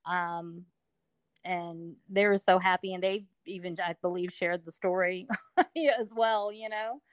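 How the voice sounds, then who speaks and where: A woman's voice: on the low side, fairly even intonation, unhurried, smooth, dry recording, clean studio sound. A middle-aged woman from Alabama, telling her story as a guest in a casual interview, chatty with many fillers.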